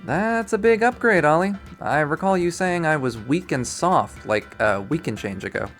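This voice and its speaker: A man's voice: a little high, lively intonation, moderate pace, soft background music, pitched above the performer's own voice, close to an everyday voice. Man playing a wry young college guy. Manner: casual, self-deprecating. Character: wry, eager-to-please young male college student.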